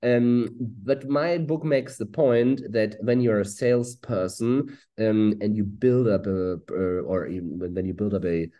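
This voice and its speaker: A man in his forties with a German accent, a guest author answering interview questions quickly with frequent fillers. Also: fairly low pitch, fairly even intonation, lo-fi sound. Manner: fast, halting with fillers. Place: room with purple lighting.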